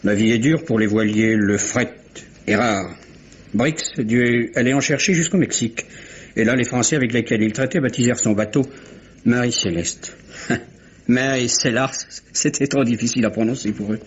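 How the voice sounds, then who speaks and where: Shipowner under questioning male actor: blustering, evasive, mid-pitched, on a dull old radio drama recording. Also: normal speed, breathy edge, close to an everyday voice. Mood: grumbling, talkative. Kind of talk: 1950s-style French radio mystery acting. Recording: dull vintage radio recording, faint noise.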